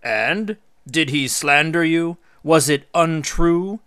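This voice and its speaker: A man's voice: on the high side, bright, forceful tone, smooth, no echo, clean studio sound, voice pushed up. Man voicing a dignified, reproving father god. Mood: curious, amused. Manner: questioning, measured admonishing.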